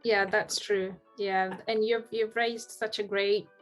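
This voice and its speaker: Woman in her 30s on a video call, pretty even flat delivery, earnest, keeps restarting sentences. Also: medium pitch, takes it slowly, soft background music. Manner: earnest, searching for words, many restarts. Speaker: podcast guest. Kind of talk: casual video-call interview. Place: home room.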